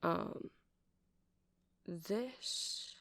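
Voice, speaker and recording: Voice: medium pitch, drawn-out words, vocal fry, fairly bright tone, no room sound, exaggerated voice. A performer voicing a chatty, nerdy male vampire shopkeeper in an ASMR roleplay, rambling hesitantly and apologetically as they explain things. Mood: rambling, explanatory. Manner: hesitant, rambling, self-correcting. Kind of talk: ASMR roleplay, casual rambling sales chat.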